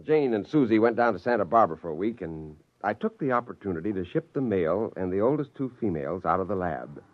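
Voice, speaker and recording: A man acting in a 1950s radio drama as an exuberant scheming scientist, theatrical and coaxing, narrating in the first person. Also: on the low side. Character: exuberant scheming male scientist, fond creator of creatures. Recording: dull vintage radio recording with music.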